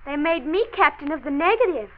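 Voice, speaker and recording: A woman's voice: sits high, expressive, brisk. An actress playing an eager, pert teenage granddaughter in a girlish, earnest manner for a 1940s radio drama. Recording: slightly dull 1940s radio recording.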